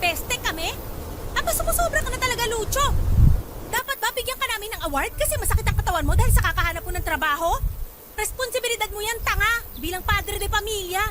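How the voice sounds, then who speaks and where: An actress playing a fed-up young wife and mother in a radio drama, sharp, nagging and indignant. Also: extremely high pitch, animated, fast talker, very noisy. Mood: indignant, angry. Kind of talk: Tagalog radio drama acting. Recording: loud music bed.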